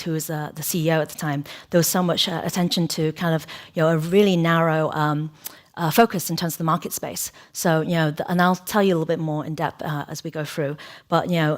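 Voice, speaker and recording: Low-voiced woman with an English accent. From Hong Kong, raised in England. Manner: frequent fillers and restarts, personal storytelling. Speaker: guest speaker, chief creative officer. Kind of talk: conversational lecture. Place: large lecture hall, at a podium. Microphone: podium microphone.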